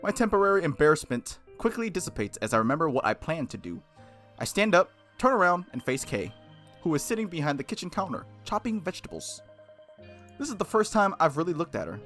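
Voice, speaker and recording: Male let's-play host, lively swings in pitch, reading story text with casual asides, music behind. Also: a little fast. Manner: expressive read-aloud, slangy asides. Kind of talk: reading a visual novel aloud with casual reactions.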